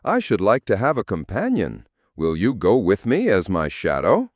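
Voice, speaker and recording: Man acting a smug shadow gentleman, swooping delivery. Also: lowish voice, huge pitch swings, moderate pace, no room sound, clean studio sound. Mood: breezy, condescending. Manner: boastful, condescending. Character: smug, self-important living shadow turned wealthy gentleman.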